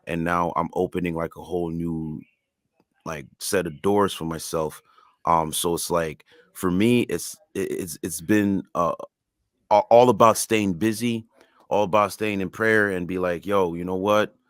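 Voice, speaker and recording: A man's voice: low-pitched, fairly even intonation, unhurried, no room sound, studio quality. A man whose first language is French, speaking English as a music artist guest in a casual interview, earnest and emphatic.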